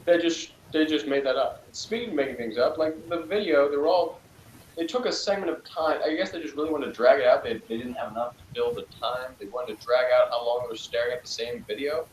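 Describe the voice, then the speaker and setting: A man's voice: medium pitch, a little fast. A man co-hosting a casual review chat, rambling and dismissive with lots of fillers. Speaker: podcast co-host. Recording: echoey room with faint noise.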